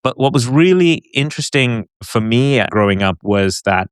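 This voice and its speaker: A man with a British accent who grew up in Hong Kong, speaking thoughtfully in a casual conversation, halting at times and animated at others. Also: medium pitch, clear, resonant tone, no room sound, studio quality. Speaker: podcast co-host.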